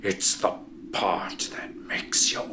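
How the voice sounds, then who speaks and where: A man's voice: takes it slowly, over music. A man giving a theatrical dramatic reading of song lyrics, drawing lines out and suddenly stressing words. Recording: noisy, roomy echoing recording.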